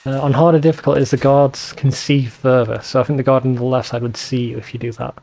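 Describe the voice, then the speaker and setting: A man's voice: mid-range voice, little pitch movement, fast, creaky, a little mellow, low-quality recording. A man hosting a let's play, giving casual game commentary with wry, sweary asides.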